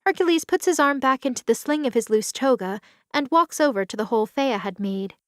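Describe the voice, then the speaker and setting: A woman's voice: sits high, expressive, a little fast, no room sound, studio quality. A woman reading scripted third-person narration for an audio drama. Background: music under the voice.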